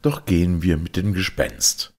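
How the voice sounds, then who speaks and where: A man reading a fairy tale as a storyteller, in a dramatic, expressive and deliberate manner. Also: sits low.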